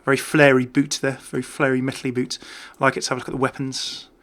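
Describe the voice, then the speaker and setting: Bright, chatty male gaming commentator. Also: mid-range voice, monotone, fast talker. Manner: chatty, jokey, rambling. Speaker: gaming YouTuber. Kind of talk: casual off-the-cuff game commentary.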